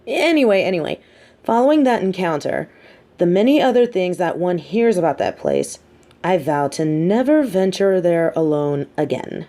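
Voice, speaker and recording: A woman playing a sardonic, teasing supervillain with a hidden soft side, talking straight to the listener in an audio roleplay with theatrical, mocking emphasis. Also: on the low side, very animated, normal speed. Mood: wry, teasing. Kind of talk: scripted audio roleplay monologue spoken to the listener.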